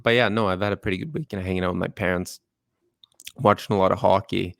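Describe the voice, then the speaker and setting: A man co-hosting a podcast in casual chat, talking quickly and rambling, often repeating himself. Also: deep voice, fairly even intonation, vocal fry, fairly bright tone, no echo, studio quality. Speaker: podcast co-host.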